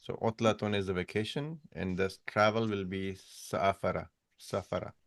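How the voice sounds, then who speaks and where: A man's voice: low voice, steady tone, moderate pace, gravelly. A man teaching an online language class, patiently correcting students one step at a time over a video call. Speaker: Arabic teacher.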